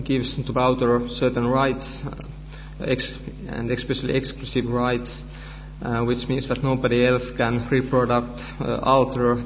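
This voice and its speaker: A man with a Finnish accent, a native Finnish speaker, giving a conference lecture in halting speech with many fillers and restarts. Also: medium pitch. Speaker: conference presenter, researcher. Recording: dull, reverberant hall recording with faint hum.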